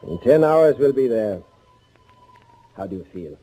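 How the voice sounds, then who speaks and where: A man's voice: mid-range voice, expressive, measured pace, warm tone, lightly acted. An actor playing a cautious, commanding man on the run in 1940s radio thriller style, clipped, urgent and protective. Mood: decisive. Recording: faintly noisy 1940s radio recording.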